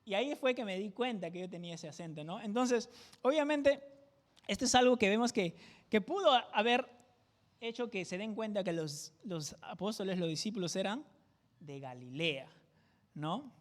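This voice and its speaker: A man with a fairly high voice and a Peruvian jungle accent teaches scripture verse by verse. His delivery is conversational, and he keeps tossing out quick check-in questions to the congregation. The sound carries a long, echoey church-hall reverb. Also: expressive. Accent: Peruvian jungle (selva) Spanish. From Peru. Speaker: pastor teaching a Bible study. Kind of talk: verse-by-verse Bible teaching sermon.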